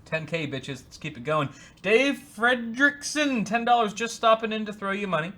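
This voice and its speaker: A man with a very bright, forceful voice pitched a little high. He co-hosts a live show in loose, irreverent banter, joking around and swearing freely. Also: lively intonation, normal speed. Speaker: co-host of a live tech show. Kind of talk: casual banter, reading viewer donations aloud.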